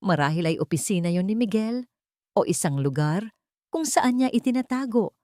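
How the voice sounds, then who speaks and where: A woman's voice: medium pitch, expressive, moderate pace, mellow, no echo, studio quality. A woman reading a scripted romance story as a dramatic narrator.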